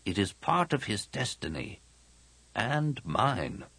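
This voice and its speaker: A man voicing a small, ancient, wise alien master with measured, formal phrasing in an audiobook. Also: on the low side, sing-song, a bit bright, breathy edge, no room sound, heavily acted. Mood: solemn. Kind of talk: audiobook character dialogue.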